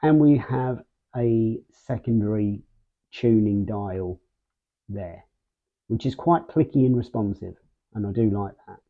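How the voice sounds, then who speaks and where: Narrow phone-band audio, male reviewer, slow delivery, casual unboxing style, measured short phrases, pauses mid-sentence. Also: fairly low pitch. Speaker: video host, product reviewer. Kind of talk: casual unboxing and product walkthrough.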